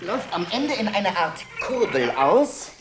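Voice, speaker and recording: A woman recites in literary radio-play style, slowly and with lively intonation. Her phrasing is precise and deliberate. The room echoes around her and noise is clearly audible. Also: mid-range voice, music in the background. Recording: roomy echo, clear background noise.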